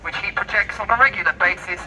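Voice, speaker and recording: High-pitched man declaiming through a megaphone. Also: fast. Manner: declamatory, teasing, repeats words for emphasis. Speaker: street speaker with a megaphone. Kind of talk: debating on the street. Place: outdoors on a busy city street at night. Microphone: far camera. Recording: distant, noisy city street recording.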